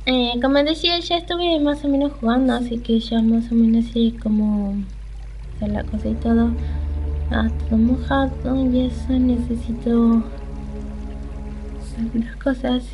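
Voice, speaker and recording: Girl doing a casual let's play, high voice, kinda hesitant, clear game music behind her. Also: steady tone, measured pace, lo-fi sound. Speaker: gaming channel host playing a video game. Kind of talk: casual let's play commentary, reading game text aloud.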